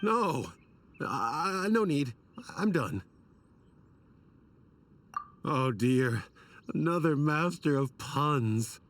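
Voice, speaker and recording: Earnest, troubled desert scholar, very expressive. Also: male voice, fairly high pitch, drawn-out words, pauses often, recorded from a distance, exaggerated voice. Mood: exasperated. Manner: wordy, self-conscious, theatrical. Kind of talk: video game voice acting.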